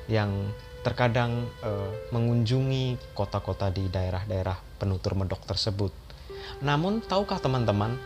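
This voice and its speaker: A man narrating an educational history video as a voice-over, in a calm and steady explaining manner. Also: mid-range voice. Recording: voice-over with loud background music.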